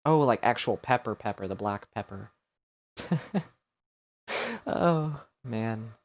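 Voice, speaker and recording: A man's voice: on the low side, expressive, slow pace. A man livestreaming a game, chatting casually in a rambling, self-deprecating way. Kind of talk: casual chat while gaming on a livestream. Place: voice over game music on a livestream.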